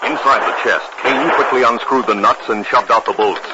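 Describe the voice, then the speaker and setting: A man's voice: medium pitch, normal speed. An American man announcing and narrating in a polished, dramatic 1950s radio style. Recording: very noisy, muffled 1950s radio recording.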